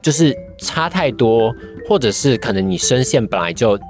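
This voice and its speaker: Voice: medium pitch, expressive, normal speed. Young Taiwanese podcast guest, careful and reflective in answering, music in the background. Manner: thoughtful, careful, qualifying. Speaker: guest, voice-acting trainee. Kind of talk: casual podcast interview answers.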